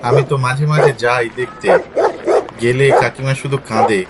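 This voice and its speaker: A man's voice: medium pitch, steady tone, normal speed, close to an everyday voice. A man voicing a concerned village father in a cartoon, sympathetic and lamenting, over very noisy sound with animals. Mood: indignant, sorrowful. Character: concerned village father, gossiping indignantly about an old teacher's plight. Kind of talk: Bengali cartoon dialogue.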